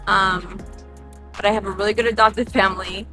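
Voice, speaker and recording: A woman's voice: mid-range voice, fairly even intonation, moderate pace, pushed and bright. Twenties woman talking candid, says like a lot, sounds far off, music playing. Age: 20s. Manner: candid, many "like" fillers. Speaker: interviewee. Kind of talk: street interview answer.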